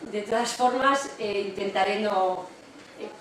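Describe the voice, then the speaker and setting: A woman's voice: mid-range voice, measured pace, a bit bright. Echoey Catalan woman giving a lecture. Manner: frequent tag questions, explanatory. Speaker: university economics lecturer, guest speaker. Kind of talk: public lecture, conversational. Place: lecture room with strong echo. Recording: very echoey room, distant mic, noisy.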